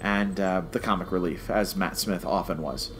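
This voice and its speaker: A man's voice: deep voice, normal speed, fairly bright tone, slight background noise. A man co-hosting a review podcast in casual chat, explaining his points emphatically and repeating phrases to stress them. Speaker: podcast co-host reviewing a TV series.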